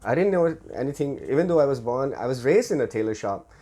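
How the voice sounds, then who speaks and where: A middle-aged man from Thailand and India hosting an informal show, telling personal stories in a halting way with repeated words. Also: medium pitch, lively intonation, dark tone. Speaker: podcast host. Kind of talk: informal personal storytelling to listeners.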